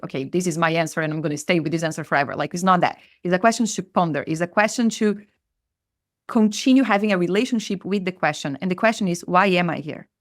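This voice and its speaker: A woman's voice: on the low side, no room sound. Brazilian woman, Portuguese native, earnest podcast guest, dry close sound. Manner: earnest, repeats phrases for emphasis. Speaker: spiritual mentor guest. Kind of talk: reflective podcast conversation.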